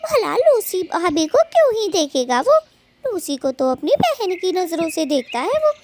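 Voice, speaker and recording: Very high-pitched, scolding cartoon bird wife. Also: female voice, big pitch swings, unhurried, slight background noise, full character voice. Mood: defensive. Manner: scolding, sharp-tongued, dramatic. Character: feisty, scolding young female cartoon bird, a jealous wife. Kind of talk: Hindi children's cartoon story dialogue.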